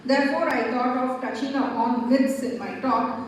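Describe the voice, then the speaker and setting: Woman with a high voice, academic presenter, picked up from afar in a very echoey hall. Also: slight background noise. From Tamil Nadu, India. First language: Tamil. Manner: formal, measured lecturing with pauses. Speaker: conference speaker. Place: large seminar hall. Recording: echoey hall heard from far off.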